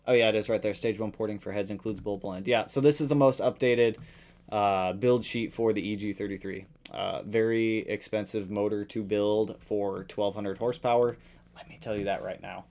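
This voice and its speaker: A man hosting a casual live stream, reading out viewer questions and answering them in a chatty, relaxed way, his voice tiring after a long session. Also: moderate pace. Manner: greets viewers loudly with sudden jumps in pitch.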